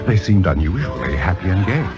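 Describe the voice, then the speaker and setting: An actor playing a suave, self-important theater critic, urbane and insinuating, in a 1950s radio drama. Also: male voice, deep voice, sing-song, brisk, over loud music. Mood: wry narration. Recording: dull old radio recording.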